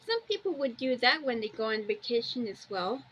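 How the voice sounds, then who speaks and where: A woman's voice: a little high, big pitch swings, a little fast, a bit bright, slight background noise, poor audio. A woman giving a casual amateur video walkthrough, plainly describing pictures and wandering off into personal asides.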